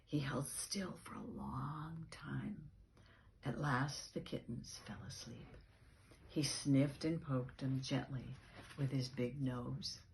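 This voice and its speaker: A woman's voice: a bass voice, fairly even intonation, slow, creaky, a little mellow, poor audio. A woman reading a children's picture book aloud, expressively, stressing key words and adding asides as storyteller. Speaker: storyteller, reading organisation's founder.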